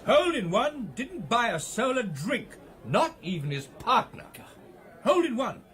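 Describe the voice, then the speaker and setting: A hearty English gentleman of the old club sort. His voice sits high for a man and swoops freely as he bosses and boasts in period radio-play style. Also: big pitch swings, measured pace, heavily acted. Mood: gossipy, indignant. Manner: jovial, bossy, clubbish old-fashioned turns of phrase. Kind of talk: period radio drama acting.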